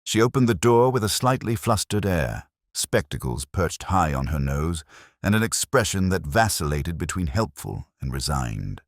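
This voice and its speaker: Deep husky male mystery narrator. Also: very animated, dry recording, clean studio sound. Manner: measured, storytelling.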